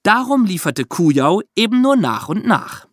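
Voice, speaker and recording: Male podcast host, higher register, highly animated, conversational true crime explainer, dry sound. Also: normal speed, no echo.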